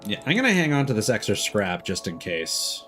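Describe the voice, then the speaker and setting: A man's voice: lowish voice, wildly swooping pitch, fairly quick, over music. A man giving casual gameplay commentary as a streamer, with joking, irreverent asides. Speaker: video game streamer.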